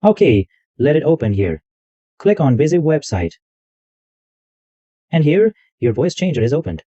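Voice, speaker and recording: A fairly high-pitched man with a lively, up-and-down delivery walks through software setup one click at a time. His tone is mellow, and the recording sounds dull and lo-fi, with a dry, close sound. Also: unhurried, no echo. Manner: narrates each click step by step, loose wording. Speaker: tutorial host. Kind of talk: screen-recorded software walkthrough.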